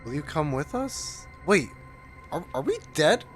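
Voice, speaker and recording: A game streamer reacting casually and emotionally. The pitch swings widely, with plenty of exclaiming, and faint music sits behind the voice. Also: sing-song, unhurried.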